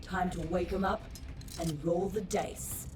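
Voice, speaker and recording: Actress as defiant female robot soldier, slow, blunt, punchy, echoey distant sound, video game. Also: lowish voice, fairly even intonation, natural voice. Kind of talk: scripted video game acting. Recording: echoey, distant, music behind.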